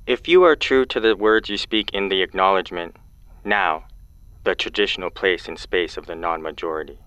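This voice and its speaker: Ominous mystery caller: low, resonant, measured and stern, sci-fi audio drama. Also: male voice, bright, forceful tone, clean tone, no room sound. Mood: cryptic, solemn. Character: cryptic, solemn mysterious caller with ominous warnings.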